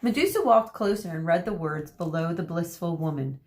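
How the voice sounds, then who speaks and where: A woman teacher reading a children's book aloud, pausing to explain words and giving the characters lively voices. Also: on the low side. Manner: explains words for kids mid-story.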